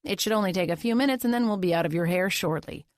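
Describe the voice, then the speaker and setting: A woman's voice: medium pitch, fast talker, no echo, lightly acted. Woman voicing a firm male officer, dry sound. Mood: polite but firm. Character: firm, wry male police officer partner. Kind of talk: audiobook character dialogue.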